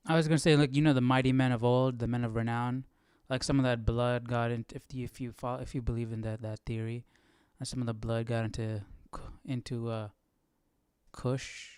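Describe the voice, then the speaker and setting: A man's voice: medium pitch, flat delivery, fairly quick, darkish tone, clear, resonant tone, no echo, studio quality. A man chatting casually as a live study host, thinking aloud in an informal, tentative way.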